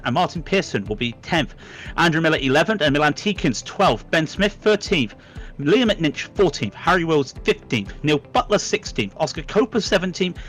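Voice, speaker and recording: Higher-voiced man doing race commentary. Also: a bit bright, rough recording. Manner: works out numbers aloud with many fillers. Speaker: sim racing commentator.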